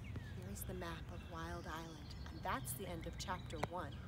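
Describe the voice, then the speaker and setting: A woman reading aloud to children in an expressive storytime style, addressing young listeners. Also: on the low side, moderate pace, very noisy. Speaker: storytime reader. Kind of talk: animated children's read-aloud narration.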